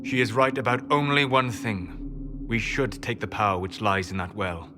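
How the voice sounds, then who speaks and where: A man voice actor playing a blunt, forceful companion in a fantasy video game. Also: on the low side, fairly even intonation, moderate pace, noisy background, lightly acted. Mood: stern. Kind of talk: video game dramatic voice acting.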